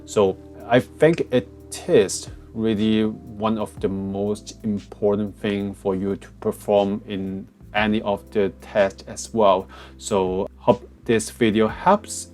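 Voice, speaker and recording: A man's voice: on the low side, a little mellow. A man with a Hong Kong English accent explaining test preparation in a casual walkthrough, with many fillers and restarts. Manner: frequent fillers and restarts, plain phrasing. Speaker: test prep tutor. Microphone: cheap headset.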